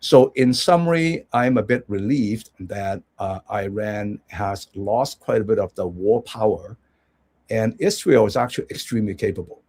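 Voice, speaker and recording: A man's voice: fairly low pitch, moderate pace, a little mellow, slightly rough. A man from Hong Kong, a guest analyst giving rambling conversational commentary with many restarts and check-ins.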